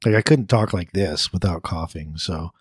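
Congested low-key man, slightly raspy. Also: no room sound, studio quality. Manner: low-key, deliberate, pauses between thoughts. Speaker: podcast co-host. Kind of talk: casual chat.

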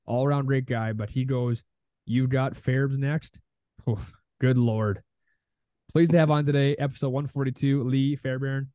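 A man's voice: medium pitch, fairly even intonation, measured pace, no room sound. A man hosting a golf podcast in casual, slangy, informal chat. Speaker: podcast host. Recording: dull lo-fi dry audio.

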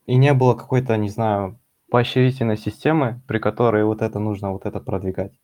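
A young man answers questions in a casual chat, pausing often and working out his thoughts as he goes. His voice sits fairly low and sounds warm and relaxed. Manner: hesitant, thinking aloud. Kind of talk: casual discussion.

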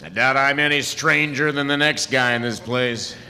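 A man's voice: mid-range voice, moderate pace, bright, forceful tone. A man playing a wry, crusty older traveling wizard with folksy cowboy ways in a comic stage show. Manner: wry, folksy, hesitant asides. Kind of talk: comic audio theatre acting before an audience. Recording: reverberant live theatre hall.

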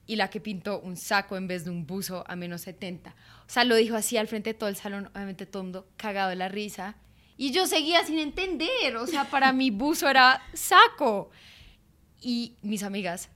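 A woman in her late twenties co-hosts a relaxed podcast, her voice sitting in an ordinary middle register. She tells stories in a chatty, animated way, leaning on fillers as she goes. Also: medium pitch. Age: 20s. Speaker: podcast co-host. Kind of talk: casual chat, storytelling.

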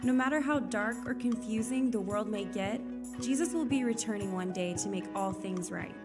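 A woman reading a scripted church explainer video in a bright, upbeat way. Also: mid-range voice, moderate pace. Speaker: video narrator. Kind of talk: scripted narration. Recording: narration over loud music. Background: loud music.

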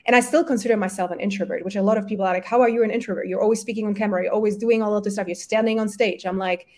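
Woman, German and Polish native, middle pitch; earnest motivational coach talk, frequent quick restarts. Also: fairly even intonation. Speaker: guest, women's health coach. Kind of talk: casual podcast conversation.

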